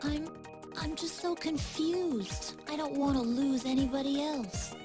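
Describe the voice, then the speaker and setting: Earnest, high-voiced young game heroine. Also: female voice, fairly even intonation, a little slow, over music. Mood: confused, vulnerable. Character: soft-hearted, anxious young woman, plucky junker's daughter. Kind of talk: video game voice acting.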